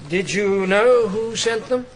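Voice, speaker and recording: A man's voice: high-pitched, takes it slowly. An actor playing a methodical, courteous male prosecuting barrister in a radio drama, putting formal questions. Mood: probing. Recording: noticeable background noise and ambience.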